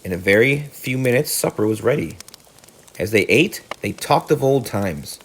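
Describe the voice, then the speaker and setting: Lively man reading a children's story. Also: medium pitch, moderate pace, a little hiss or hum, poor audio. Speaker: read-aloud teacher. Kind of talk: children's storybook narration with word explanations.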